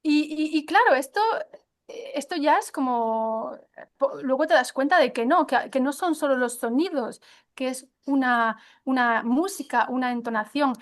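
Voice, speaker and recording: A woman with a high voice answers interview questions about teaching pronunciation, in a relaxed conversational way. She hesitates often, filling gaps with "eh" and restarting her sentences as she thinks aloud. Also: normal speed. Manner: hesitant, many fillers and restarts.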